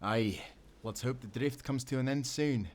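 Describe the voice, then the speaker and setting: A man's voice: mid-range voice, a little slow, darkish tone, lightly acted. A man playing a hearty, loyal sailor in an audio adventure, with an earnest, folksy nautical way of talking. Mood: grateful, earnest. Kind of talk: audio roleplay acting.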